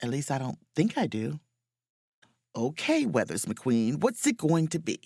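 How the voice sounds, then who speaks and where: A woman acts a wry, overworked female starship officer trying to relax, in a romantic comedy audio drama. Her voice swings widely in pitch, lingers in its slow pace, and breaks into awkward muttered asides. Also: sing-song, a little mellow, husky, no echo, clean studio sound, full character voice. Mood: wry, exasperated.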